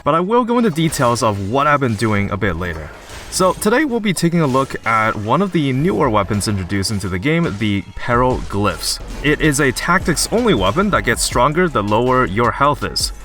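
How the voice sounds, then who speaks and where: Young man, slightly hoarse, lively bright delivery, casual gameplay commentary over faint game music. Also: mid-range voice, normal speed. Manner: casual, chatty, explanatory. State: slightly hoarse from recent illness. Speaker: gaming YouTuber.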